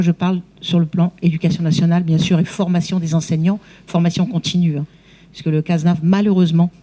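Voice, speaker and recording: A woman with a warm, dark-toned voice speaks as a teacher trainer in a seminar debate. She explains things off the cuff, restating key words for emphasis. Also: fairly low pitch, poor audio. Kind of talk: spontaneous remarks in a seminar debate.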